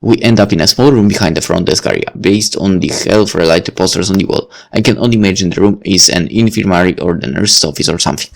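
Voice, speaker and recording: A man's voice: low voice, fast talker, vocal fry, few pauses. A man reading a visual novel aloud, with dry, wry asides. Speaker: let's play reader.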